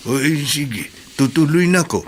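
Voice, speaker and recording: An actor in a radio drama playing a kindly older cousin who gives gentle, fatherly advice. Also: a little high, animated, moderate pace, a little hiss or hum, lightly acted.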